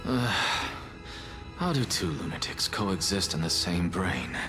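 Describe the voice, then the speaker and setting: Sardonic, slow, low-voiced young scholar. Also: male voice, sing-song, over music. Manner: dry, theatrical, disdainful. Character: sardonic, haughty young male scholar, world-weary and irritable. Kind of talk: video game voice acting.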